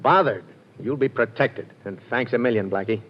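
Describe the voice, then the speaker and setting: A man's voice: mid-range voice, normal speed, natural voice. A man playing an exasperated, wheedling police inspector in an old radio drama. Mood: pleading. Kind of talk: 1940s radio acting. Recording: dull lo-fi old radio recording.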